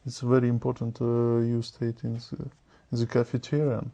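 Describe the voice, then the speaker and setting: A man from Russia with a Russian accent, a candidate in a speaking exam, talking in halting, broken phrases. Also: mid-range voice, flat delivery, vocal fry, warm tone, lo-fi sound.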